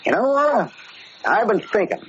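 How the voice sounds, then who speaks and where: An actor playing a cocky, tough-talking gangster in a slangy 1940s radio drama style. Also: male voice, sits high, sing-song, normal speed. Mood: cocky, easygoing. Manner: slangy, brash. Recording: muffled, hissy 1940s radio recording.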